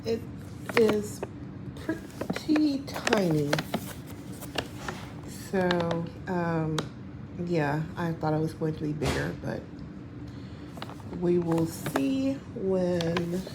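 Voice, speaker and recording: A woman giving a casual unboxing review in a hesitant, unhurried manner. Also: fairly low pitch, expressive, vocal fry. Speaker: customer reviewer. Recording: very noisy amateur recording with ambience.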